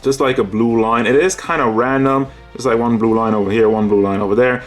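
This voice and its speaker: A man's voice: medium pitch, steady tone, fairly quick. Male collectibles reviewer, mid-range voice, off-the-cuff and conversational, picks at small details, music underneath. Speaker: toy figure reviewer. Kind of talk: casual unscripted product review. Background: background music.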